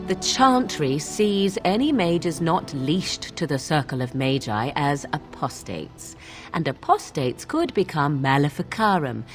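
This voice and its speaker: Wry, haughty young witch, lively inflection. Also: low voice. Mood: disdainful lecturing. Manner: archaic, formal, mocking phrasing. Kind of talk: video game dialogue acting.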